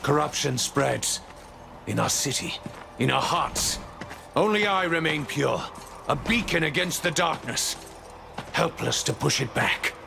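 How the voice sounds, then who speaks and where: An actor playing a proud and weary angel ruler, speaking in a grand, measured and prophetic way in a video game cutscene. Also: male voice, fairly high pitch, expressive, gravelly, noisy background, heavily acted. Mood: grave.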